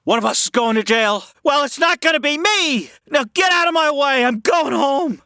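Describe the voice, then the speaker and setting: A man's voice: extremely high pitch, expressive, normal speed, sharp tone, dry recording, clean studio sound, full character voice. A man playing a desperate, short-tempered small-town family man in an old-time radio drama, exasperated and prone to stammer. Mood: furious. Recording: music behind the voice.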